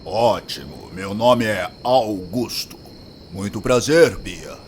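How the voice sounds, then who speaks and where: An actor playing a gruff, crude, hard-drinking but protective man in an audio drama. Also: fairly low pitch, lively intonation, slow pace, a little hiss or hum. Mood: gruff, softened. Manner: blunt, crude, grumbling. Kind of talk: acted audio drama dialogue.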